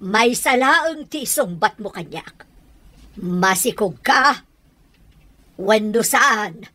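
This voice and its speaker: Suspicious stern mother, highish, animated pitch, demanding, radio drama, faint music. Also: slow, full character voice. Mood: accusing, stern. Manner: interrogating, forceful. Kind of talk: Ilocano radio drama acting.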